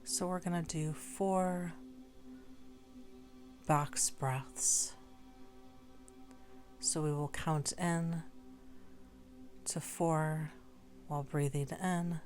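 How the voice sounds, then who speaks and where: A woman leading a guided meditation in a gentle, unhurried way, leaving long pauses between short phrases. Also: sits low, steady tone, faint music behind. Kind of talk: slow guided meditation narration.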